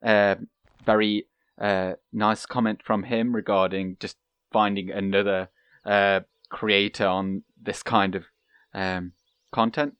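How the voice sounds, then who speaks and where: A young man giving calm, casual commentary over his own gameplay, soft-spoken and pausing often with fillers. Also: on the low side, clear, resonant tone, no room sound, lo-fi sound. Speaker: gaming channel host.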